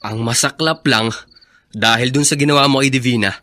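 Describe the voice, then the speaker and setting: An actor in a Filipino radio drama playing a scheming accomplice with an offhand, conspiratorial manner. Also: male voice, medium pitch, fairly even intonation, a little fast, sharp tone, close to an everyday voice. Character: scheming, casually callous male accomplice.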